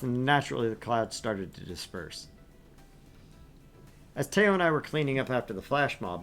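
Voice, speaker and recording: A man reads a visual novel aloud for his let's play, his voice swinging widely and animatedly through each line. He drops in joking asides as he goes, at an everyday middle pitch. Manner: lively, animated reading with asides.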